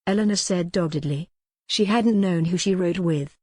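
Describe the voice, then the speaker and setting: Low-toned woman narrating historical romance. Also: normal speed, a little mellow, dry recording, studio quality. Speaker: audiobook narrator.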